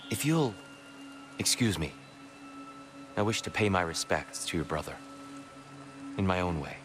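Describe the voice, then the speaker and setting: A gentle, haunted god freed from captivity in a video game. The voice is low and unhurried, reflective and courteous. Faint music plays underneath. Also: male voice, expressive. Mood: gentle, solemn. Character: gentle, weary freed male prisoner god, thoughtful, haunted. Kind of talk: dramatic video game acting.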